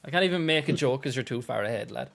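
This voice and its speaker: An Irish man with an ordinary, mid-pitched voice hosts a relaxed community call over a voice chat mic. He jokes along, laughs a lot and swears without a second thought. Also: creaky. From Ireland. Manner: laughs often, swears freely, upbeat banter. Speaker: host. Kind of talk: casual open meeting chat.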